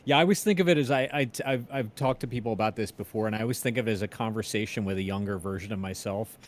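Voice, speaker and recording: A middle-aged or older man chatting casually, self-deprecating and halting as he talks. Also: fairly low pitch. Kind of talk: casual chat.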